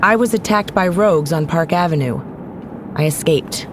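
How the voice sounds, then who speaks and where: A woman's voice: lowish voice, normal speed, noisy background, natural voice. A woman playing an earnest, guarded resistance member in an audio drama, answering briefly and carefully.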